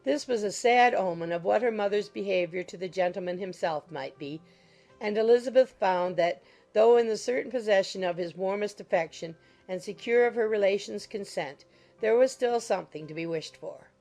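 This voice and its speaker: Female narrator for a classic novel: medium register, composed literary reading, light musical bed behind. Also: mid-range voice. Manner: measured, literary storytelling. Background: soft music.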